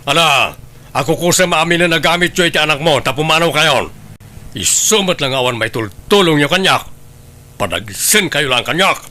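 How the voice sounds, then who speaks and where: A man's voice: fairly high pitch, lively intonation, moderate pace, pushed and bright. A man playing a husband in a troubled household audio drama. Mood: angry, impatient. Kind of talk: Ilocano audio drama acting.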